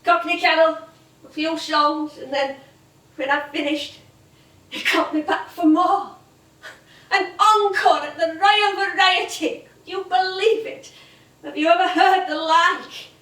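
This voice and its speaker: A high-voiced, wistful English stage veteran. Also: female voice, fairly even intonation, measured pace, fairly bright tone. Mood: wistful delight. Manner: emotive, dramatic, reminiscing. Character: ageing music hall actress, proud, wistful, wounded. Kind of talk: theatrical stage monologue and dialogue. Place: theatre stage, echoing. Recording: roomy theatre stage, distant microphone.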